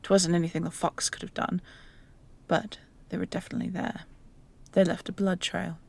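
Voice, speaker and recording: A woman's voice: on the low side, flat delivery, moderate pace, creaky, a little mellow, slightly rough. A woman playing a dutiful, nervous leader of huntsmen in a fantasy audio drama, formal, uneasy and deferential. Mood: uneasy, reporting.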